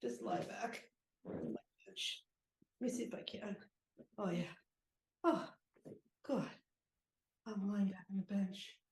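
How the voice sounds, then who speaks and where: A woman playing a cranky, sentimental aging ex-baseball pitcher with an aching back, rambling and muttering in an improvised monologue. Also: normal speed, long pauses, lightly acted. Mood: nostalgic, weary. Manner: rambling, muttering, groaning, talks fondly to objects. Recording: echoey room.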